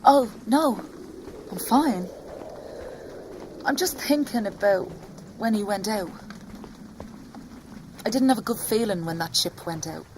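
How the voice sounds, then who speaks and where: A woman's voice: mid-range voice, expressive, takes it slowly, long pauses, slight background noise, poor audio. A woman playing an anxious working-class young mother who fears for her husband, in an intimate audio drama monologue. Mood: uneasy hope. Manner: hushed, breathy inner thoughts broken by spoken-aloud outbursts. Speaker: actor in a solo audio play.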